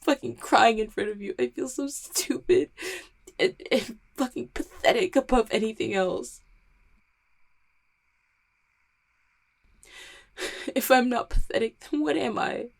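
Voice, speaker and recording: A woman acting a guarded, heartbroken small-town mail carrier in an audio roleplay, stammering and blaming herself. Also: on the high side. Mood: sobbing, despairing. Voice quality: husky, creaky. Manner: halting, stammering, self-deprecating. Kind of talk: roleplay audio drama, intimate one-sided conversation.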